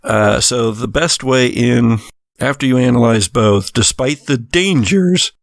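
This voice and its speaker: A man working as game master, improvising narration for a tabletop horror game in halting, deliberate phrases. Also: mid-range voice, vocal fry, dry recording, studio quality.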